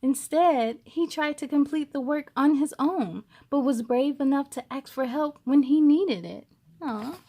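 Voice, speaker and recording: A woman hosting a children's read-aloud, expressive and encouraging as she speaks to young listeners. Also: sits high, unhurried. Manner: expressive, encouraging, addresses young viewers directly. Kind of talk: animated storybook reading for children.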